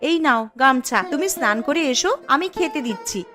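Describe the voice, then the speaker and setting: A woman voicing a wife in a Bengali cartoon, with a sharp, scolding manner. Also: expressive, normal speed, music in the background. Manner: sharp, scolding, high-pitched.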